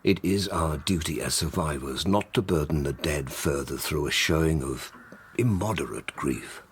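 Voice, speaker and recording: An actor playing a stern, dutiful older gentleman, a father who speaks formally and admonishingly in an audio drama. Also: rumbling low, moderate pace, slight background noise. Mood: stern, reproving.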